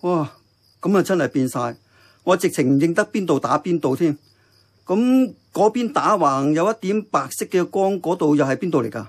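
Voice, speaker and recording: A man playing an earnest, thoughtful uncle who runs a diner in a radio drama, with a Hong Kong accent and a measured, reflective manner. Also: fairly high pitch, expressive, breathy edge. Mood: curious. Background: music.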